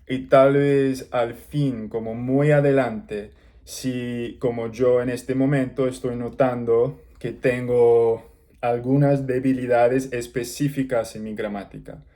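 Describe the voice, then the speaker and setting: Slow, mellow-voiced man learning the language. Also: medium pitch. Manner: halting learner's speech with pauses and restarts. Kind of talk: casual talk to camera.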